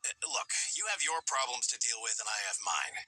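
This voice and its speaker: An actor voicing a scheming male merchant in a game, smooth and wheedling. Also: mid-range voice, sing-song, fairly quick, pushed and bright. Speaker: game character. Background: game music.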